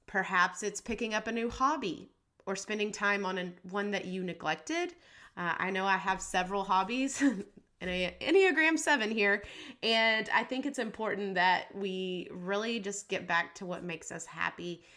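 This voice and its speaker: A woman's voice: mid-range voice, normal speed, pushed and bright. A woman hosting a podcast, talking in an upbeat, reassuring and chatty way, her voice a little scratchy from allergies. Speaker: podcast host. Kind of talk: casual podcast pep talk.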